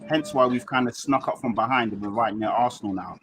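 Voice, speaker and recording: A London man with a mid-pitched voice talks football as a guest fan. He explains his points in slangy, emphatic repetition, over clearly audible background noise on an online call. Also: quick pace. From London, England. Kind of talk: casual football chat.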